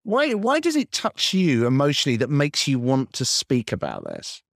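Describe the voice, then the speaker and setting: A man's voice: medium pitch, huge pitch swings, normal speed, vocal fry, no room sound, studio quality. A man interviewing conversationally as a host, probing and rephrasing what he hears.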